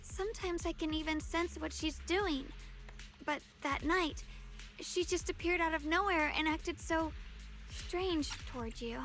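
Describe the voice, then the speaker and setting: A woman voicing a gentle, earnest young swordswoman in a video game, hesitant, soft-spoken and polite. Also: very high voice, unhurried, faint music behind. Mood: apologetic, hesitant. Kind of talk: dramatic video game voice acting.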